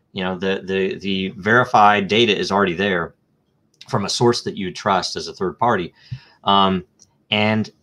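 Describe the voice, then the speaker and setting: A man's voice: low-pitched, fairly bright tone. A man answering questions as a guest in a live online interview, explaining step by step through examples and thinking aloud with frequent ums, uhs and restarts.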